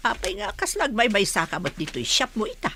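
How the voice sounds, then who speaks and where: A woman's voice: medium pitch, big pitch swings, a little fast, pushed and bright, slight background noise. A woman playing a sociable village aunt and mother in a radio drama. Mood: friendly. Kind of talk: Ilocano radio drama acting.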